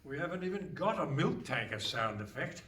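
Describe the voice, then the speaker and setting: A man's voice: fairly high pitch, big pitch swings, normal speed, a bit bright. A man playing a wry, bantering announcer in a comic radio play. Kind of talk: comic scripted narration before live audience. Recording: echoey hall, slightly distant mic.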